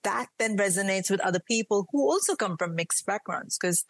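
A woman's voice: medium pitch, lively intonation, brisk, no room sound. A woman from India with an Indian accent, speaking earnestly and fluidly as a podcaster guest in an interview. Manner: earnest, flowing, emphatic. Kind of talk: reflective interview conversation.

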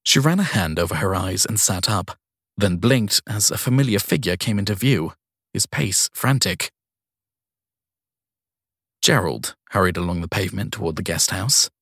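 A man's voice: on the low side, normal speed, a bit bright, no room sound, clean studio sound. A man reading crime fiction aloud as scripted audiobook narration.

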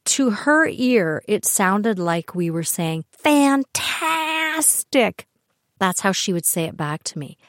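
A woman's voice: medium pitch, takes it slowly, no echo, clean studio sound. An older woman with a Canadian accent, hosting a podcast and telling personal family stories in a chatty, wry way. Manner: chatty, wry, animated asides. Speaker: podcast host. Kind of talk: personal storytelling monologue.

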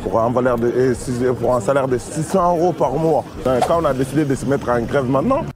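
A man from Mali, an undocumented worker and strike delegate, giving a halting street interview with many pauses. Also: mid-range voice, noisy background.

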